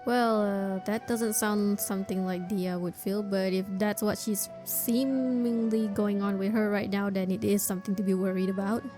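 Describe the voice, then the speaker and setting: A woman's voice: mid-range voice, fairly even intonation, moderate pace, hardly any pauses, mellow. A woman streaming a game, giving casual reaction commentary on the story. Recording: music behind the voice.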